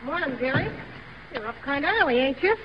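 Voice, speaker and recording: High-voiced slangy showgirl pal. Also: expressive, fairly quick. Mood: casual concern. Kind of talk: 1930s radio acting. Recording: muffled 1930s radio broadcast, faint noise.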